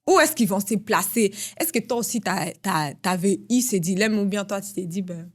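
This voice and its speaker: Brief: woman host, mid-pitched voice, easygoing, asks questions with lots of "mhm". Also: moderate pace. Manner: relaxed, frequent backchannel "mhm". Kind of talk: casual interview questions.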